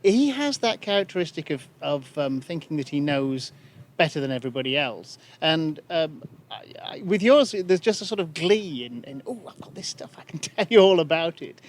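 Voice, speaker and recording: A man's voice: on the high side, sing-song, normal speed. A man hosting a podcast interview, hesitant and full of fillers and repeated words. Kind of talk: casual interview conversation.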